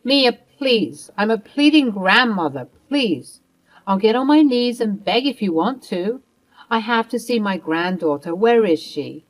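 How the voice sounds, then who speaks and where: A woman's voice: mid-range voice, animated, a little slow, rough recording. Brief: woman reading a haughty grandmother, scornful then desperate, raised voice. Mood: pleading. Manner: dismissive and sneering, later pleading. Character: bossy, snobbish older woman, a grandmother.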